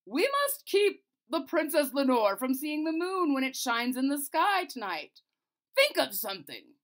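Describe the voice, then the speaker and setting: A woman plays an exasperated, commanding king from a storybook. The voice is loud and fairly high, with big swings in pitch and heavy emphasis. He is worried and quick to lose his temper. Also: sing-song, heavily acted. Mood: urgent.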